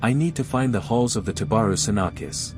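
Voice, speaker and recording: Game lead: serious, guarded male adventurer, played by a man, lowish pitch, clear music underneath. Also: animated, a little fast, close to an everyday voice. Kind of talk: video game voice acting.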